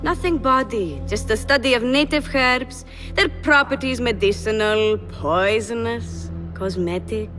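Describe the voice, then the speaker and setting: An actress playing a coy, coldly loyal young palace schemer in a game cutscene, speaking in a sly, controlled, deliberate way. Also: female voice, fairly high pitch, over music, natural voice. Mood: sly, cool. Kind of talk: video game cutscene acting.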